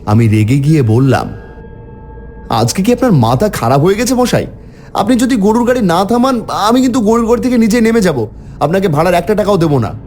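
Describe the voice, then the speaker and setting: Male cartoon horror narrator: dark warm timbre, highly expressive pitch, theatrical first-person storytelling. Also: fairly quick, faint music behind. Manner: theatrical, exclamatory in dialogue.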